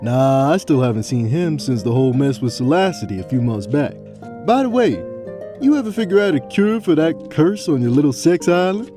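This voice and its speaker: A man's voice: on the high side, sing-song, normal speed. A man playing an earnest, humble priest in a tabletop fantasy game. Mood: casual, friendly. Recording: faint music under the voice.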